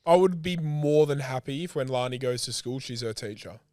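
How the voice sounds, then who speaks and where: A man's voice: mid-range voice, brisk, a little mellow, a little hiss or hum. Swearing Australian man, casual podcast co-host. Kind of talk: casual banter, frequent swearing.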